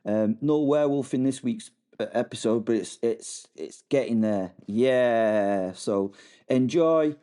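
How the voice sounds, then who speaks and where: A man's voice: mid-range voice, slow, warm tone. A man casually introducing his podcast episode, hesitant and searching for words. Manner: hesitant, full of ums and self-corrections. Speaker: podcast host.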